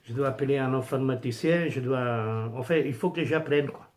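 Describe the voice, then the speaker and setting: A middle-aged man with an Italian accent, speaking French as a second language, casually vlogging about his pin collection with many pauses and fillers. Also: medium pitch. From Italy. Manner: hesitant, many fillers and restarts, searching for words. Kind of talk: casual unscripted vlog.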